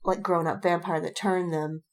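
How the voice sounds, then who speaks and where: A woman's voice: on the low side, little pitch movement, normal speed. A woman podcast co-host chatting casually, telling stories in a rambling way with acted-out quotes and frequent swearing. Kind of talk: casual chat.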